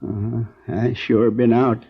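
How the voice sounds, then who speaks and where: An actor playing a frail, dazed grocer in his sixties, halting and querulous, in a 1950s radio drama. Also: medium pitch, little pitch movement, gravelly, breathy edge. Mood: dazed, weak. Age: 60s. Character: frail, dazed elderly injured grocer in hospital. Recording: dull vintage radio recording.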